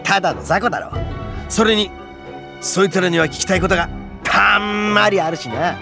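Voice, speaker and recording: A man voicing a brash, boastful male oni brawler who talks in rough, swaggering speech, over game music. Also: high voice, normal speed. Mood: gruff, determined. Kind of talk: Japanese game voice acting.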